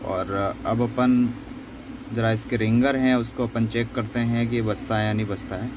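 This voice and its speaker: A man's voice: mid-range voice, steady tone, normal speed, background noise. A man gives an unscripted, step-by-step repair demonstration in a conversational, explanatory way, often repeating himself. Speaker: phone repair tutorial host.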